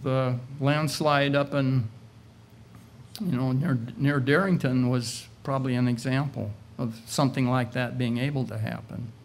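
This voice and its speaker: A man in his sixties giving a sermon at a lectern, retelling scripture in measured, halting short phrases with pauses. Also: mid-range voice, steady tone, slight background noise. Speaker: preacher. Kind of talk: sermon retelling a Bible story. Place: indoor meeting room, at a lectern. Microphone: lectern gooseneck microphone.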